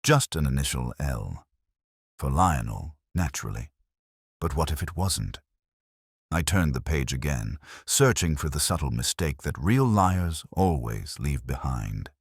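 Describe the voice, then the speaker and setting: A man playing a weary, cerebral police inspector, narrating a detective audio drama in a measured, brooding, literary way with a gravelly voice. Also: rumbling low, sing-song, no room sound, studio quality, exaggerated voice. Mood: grave, pondering.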